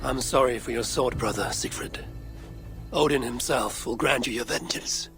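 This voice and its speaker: Man playing a gravelly, level-headed Viking leader. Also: medium pitch, moderate pace, fairly bright tone, soft background music, lightly acted. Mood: measured, respectful. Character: level-headed male Viking clan leader, loyal, steady warrior. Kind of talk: video game acting.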